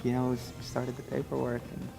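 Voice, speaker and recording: A young man from Syria talks in an easygoing, chatty way. His voice has a gravelly edge and a fairly level tone. Clear music plays under him throughout. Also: mid-range voice, fast talker. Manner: relaxed, chatty, with light laughs. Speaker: groom-to-be interviewee. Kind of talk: casual chat. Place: hotel meeting room, seated at a table.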